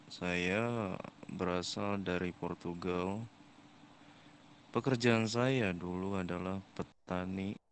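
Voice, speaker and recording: A man slowly introducing his roleplay character. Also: deep voice, steady tone, a little hiss or hum.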